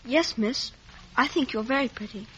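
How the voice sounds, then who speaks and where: A woman's voice: fairly high pitch, normal speed, a bit bright, slightly rough. A woman playing a humble, wounded young boy in a 1950s radio drama, meek but stubborn. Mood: meek, guarded. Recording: muffled vintage radio recording.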